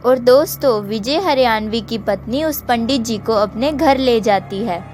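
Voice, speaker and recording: A woman's voice: on the high side, unhurried, darkish tone. A woman narrating a children's story, addressing her listeners directly. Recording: music behind the voice. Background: music.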